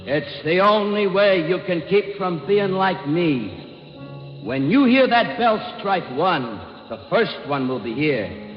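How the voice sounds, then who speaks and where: A man playing a sardonic, spooky ghost of a dead businessman, slangy drawn-out delivery and ghostly reverb, in a 1950s radio play. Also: high voice, expressive. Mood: ominous warning. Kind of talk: 1950s American radio comedy-drama acting. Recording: dull old radio recording, long reverb, faint music.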